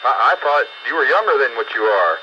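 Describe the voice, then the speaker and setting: A man's voice: medium pitch, big pitch swings, fairly quick. Muffled radio audio, heavy noise, man in his late fifties, folksy casual chat. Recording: noisy band-limited radio transmission.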